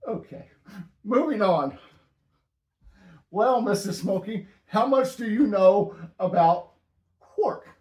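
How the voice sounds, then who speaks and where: A man hosting a casual stamp-collecting show, with dry, deadpan banter. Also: high voice, slow, long pauses, roomy sound. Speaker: host.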